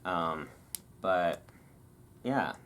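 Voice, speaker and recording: A host giving a relaxing ASMR reading of a historical article, with relaxed, informal delivery. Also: low voice, drawn-out words, slight background noise.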